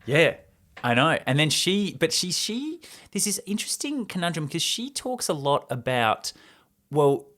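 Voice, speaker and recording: A man hosting a film show, giving casual commentary and an animated, slangy recap of a story. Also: fairly high pitch, measured pace. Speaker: host of a film report show.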